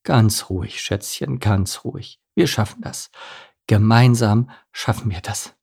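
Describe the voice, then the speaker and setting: Man playing a soothing, low-key father duck. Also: lively intonation, moderate pace, a little mellow, husky. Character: calm, reassuring adult father duck. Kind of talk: storybook character voice.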